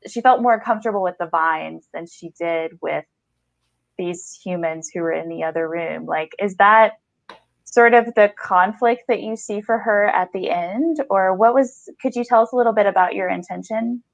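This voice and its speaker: A woman's voice: medium pitch, normal speed, gravelly, no echo. A woman hosting a podcast, interviewing an author in a reflective, affirming, conversational way. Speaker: podcast host.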